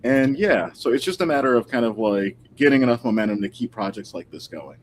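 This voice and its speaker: A man's voice: medium pitch, expressive, fairly quick, slight background noise. A man talking casually and thoughtfully as a guest historian in an informal interview, picking his words tentatively over a video call.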